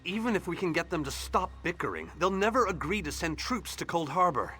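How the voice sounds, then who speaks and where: A bright, high-voiced exasperated wizard. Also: male voice, very animated, brisk, faint music behind, exaggerated voice. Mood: wry, frustrated. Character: fussy, exasperated, diplomatic male archmage. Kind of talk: fantasy game dialogue acting.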